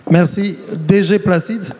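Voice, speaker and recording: A man's voice: sits high, steady tone, takes it slowly, a little mellow, slight background noise. A man moderating a conference panel in a formal, ceremonious register. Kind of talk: formal live event moderation. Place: large conference hall. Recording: reverberant conference hall.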